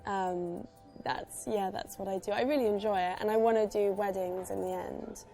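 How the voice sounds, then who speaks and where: A woman's voice: medium pitch, fairly even intonation, moderate pace, gravelly, dark tone, lightly acted. A woman playing a young woman who gives polite, slightly guarded replies to a stranger. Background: faint background music.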